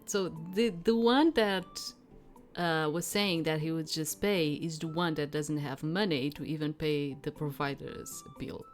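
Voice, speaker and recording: Low-voiced woman, chatty game streamer. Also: a little slow, soft background music. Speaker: streamer playing and commenting on a game. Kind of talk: casual let's-play commentary.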